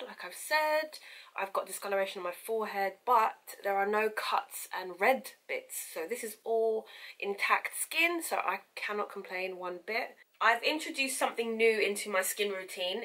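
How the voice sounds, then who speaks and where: Young woman, sniffly nose, chatty vlog, bit high and bright. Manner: chatty, candid, direct to camera. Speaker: vlogger. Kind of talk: casual vlog update.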